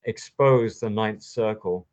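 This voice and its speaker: A man's voice: on the low side, takes it slowly, a little mellow. A man hosting a conversational interview, giving emphatic, impassioned opinions. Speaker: podcast host. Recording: dull lo-fi audio.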